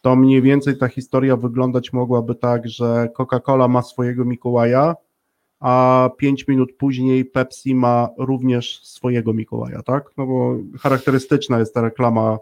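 Flat-toned man co-hosting a discussion. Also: mid-range voice, normal speed. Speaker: podcast co-host. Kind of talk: casual explanatory discussion.

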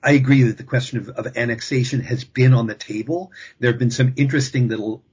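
Husky guy on a panel, thinking out loud, lots of restarts, muffled lo-fi audio. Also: mid-range voice. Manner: measured, thinking aloud with frequent restarts. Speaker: expert guest. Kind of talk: panel discussion.

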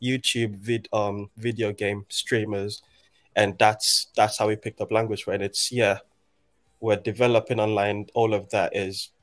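A man in his late twenties, raised in Nigeria, speaks in a fairly low voice. He talks thoughtfully and haltingly, restarting his sentences as he works through his ideas in a relaxed conversation. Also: soft background music. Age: 20s. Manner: reflective, halting, many restarts. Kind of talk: casual conversation.

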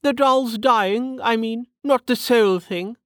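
A woman's voice: fairly high pitch, slow pace, no echo, studio quality, pitched above the performer's own voice. A woman voicing a prim, snobbish noblewoman in an audiobook, with a testy, proper manner. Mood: casual, prying. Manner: haughty, sniffing, proper. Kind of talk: audiobook character acting.

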